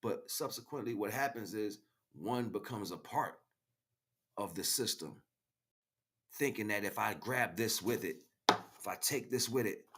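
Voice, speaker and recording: A man's voice: fairly low pitch, a little slow, long pauses, fairly bright tone, husky. A man giving an impromptu spiritual monologue as a teacher, speaking directly to the listener and repeating phrases for emphasis.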